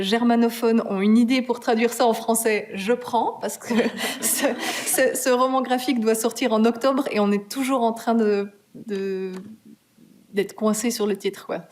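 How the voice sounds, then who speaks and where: A woman's voice: fairly high pitch, fairly even intonation, moderate pace, a little mellow. Hesitant woman author. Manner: hesitant, many fillers and restarts, laughs easily. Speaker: guest author. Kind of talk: conversational Q&A answers. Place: university meeting room.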